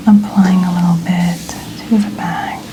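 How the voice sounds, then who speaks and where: A woman speaking in a breathy, soothing whisper, gently reassuring as she plays a chiropractor in a soft-spoken ASMR roleplay. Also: lowish voice, level tone, a little slow, noisy background. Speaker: ASMR creator playing a chiropractor.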